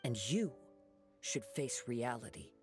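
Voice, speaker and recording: A man voicing a calm, perceptive young wandering samurai in an anime game, measured and formal in his reasoning. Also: medium pitch, close to an everyday voice. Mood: firm, resolute. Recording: music behind the voice. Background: game music.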